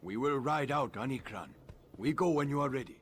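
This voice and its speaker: An actor playing a grave alien villager who gives terse, broken commands. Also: male voice, mid-range voice, lively intonation, a little fast, a bit bright, a little hiss or hum, close to an everyday voice. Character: Na'vi villager guide, grave and urgent.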